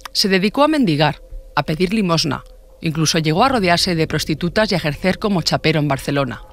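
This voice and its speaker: Man, Spain accent, higher-pitched voice, podcast host, scripted true crime narration, dry studio sound. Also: creaky, a bit bright, dry recording.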